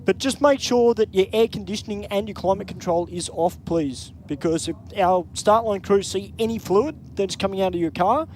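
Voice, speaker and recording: A man's voice: sits high, normal speed, hardly any pauses, darkish tone, a little hiss or hum. A man with an Australian accent calling drag races in live commentary, chatty and upbeat in easy banter.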